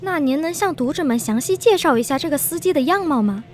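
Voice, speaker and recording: A woman's voice: high voice, expressive, fast, darkish tone, faint music behind. A woman playing a polite, curious interviewer in a radio drama, asking formal questions in Mandarin. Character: polite, curious female interviewer, astonished by strange tale.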